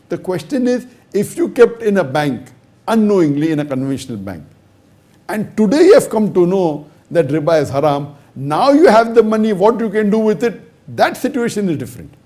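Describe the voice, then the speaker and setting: Emphatic lecturing man, mellow tone. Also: a little high. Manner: emphatic, methodical reasoning with rhetorical questions. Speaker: Islamic scholar answering audience questions. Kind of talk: lecture-style question and answer.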